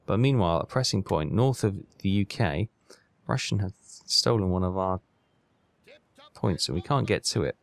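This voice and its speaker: A man's voice: low-pitched, lively intonation, normal speed, pauses often, dry recording. A man hosting a gaming video, giving casual commentary and thinking aloud in a chatty way as he plays.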